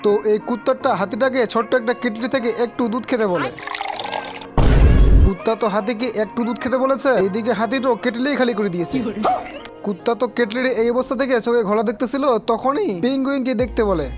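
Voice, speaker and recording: A man's voice: very high voice, fast, music in the background. A man narrating a cartoon recap in a colloquial, joking storytelling style. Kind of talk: scripted comic narration.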